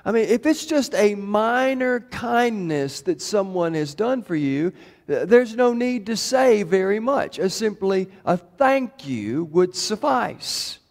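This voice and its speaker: A hoarse man voicing young male seminary students explaining something slowly and patiently, in a church. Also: sits high, animated, voice pushed up, heavily acted. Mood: coaching. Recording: long reverb of a church hall.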